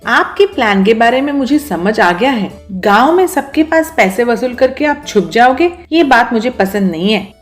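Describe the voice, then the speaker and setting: A woman's voice: fairly high pitch, natural voice. A woman playing a worried, disapproving village wife and reluctant accomplice in a comic story. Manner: worried, stammering when cornered.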